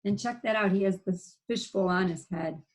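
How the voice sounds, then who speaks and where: A woman's voice: mid-range voice, normal speed, poor audio. A woman reading a storybook to young children, adding sound effects and simple explanatory asides. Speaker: read-aloud presenter for young children.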